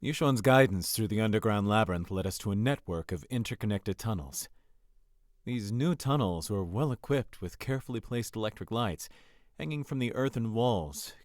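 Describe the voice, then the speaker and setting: A man's voice: lowish voice, lively intonation, normal speed, a little mellow, no echo, clean studio sound, close to an everyday voice. A man reading scripted story narration for a visual novel game.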